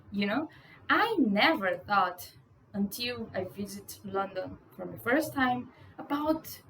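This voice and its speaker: Young woman, native Portuguese speaker, Brazilian accent, high voice, candid casual vlog delivery, blunt, faint hiss behind. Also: low-quality recording. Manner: outspoken, swears for emphasis, frequent "you know". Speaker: vlogger. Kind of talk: casual monologue to camera.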